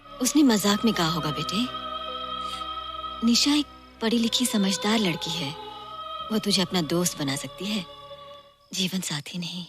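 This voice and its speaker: A woman's voice: lowish voice, expressive, measured pace, long pauses, over music. An actress playing a protective, sorrowful middle-aged mother in a film drama. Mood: gently dismissive. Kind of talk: Bollywood film dialogue.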